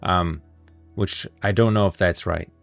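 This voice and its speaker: A man with a very low voice gives casual lore commentary as a stream host. He rambles and stresses his points by repeating them, with music playing behind him. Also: fairly even intonation, unhurried, gravelly. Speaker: livestream host. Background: background music.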